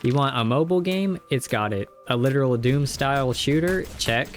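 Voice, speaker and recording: A man's voice: medium pitch, animated, moderate pace, soft background music. Man narrating a game recap. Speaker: video game reviewer. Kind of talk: casual scripted gameplay recap narration.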